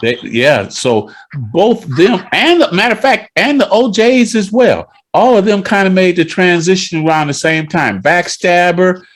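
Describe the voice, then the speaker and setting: A man's voice: high-pitched, animated, measured pace. A man hosting a casual music interview on a video call, talking like a knowledgeable fan. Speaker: radio show host.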